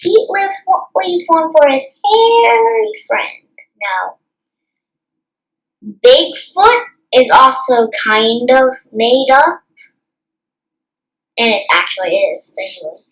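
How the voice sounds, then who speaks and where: High-pitched, lively child storyteller. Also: slow, pauses often, low-quality recording. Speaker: storytime channel host. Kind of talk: reading a picture book aloud with casual asides.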